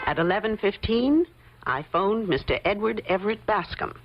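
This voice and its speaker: A woman's voice: mid-range voice, expressive, moderate pace, very noisy, lightly acted. An actress playing a cool, shrewd female private detective in an old-time radio mystery, with a dry, probing, self-assured manner. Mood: wry narration. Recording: muffled old radio broadcast under loud music.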